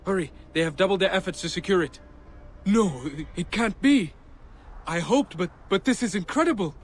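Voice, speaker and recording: Earnest, driven ancient relic seeker. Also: male voice, sits high, expressive, normal speed. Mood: urgent excitement. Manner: earnest, intense, formal. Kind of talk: video game cutscene acting. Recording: game music behind the voice.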